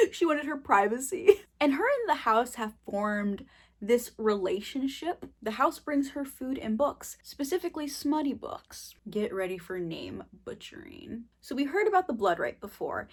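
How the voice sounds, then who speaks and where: A young woman giving an informal, emphatic book recap with casual swearing, partly scripted, as a book reviewer. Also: on the high side.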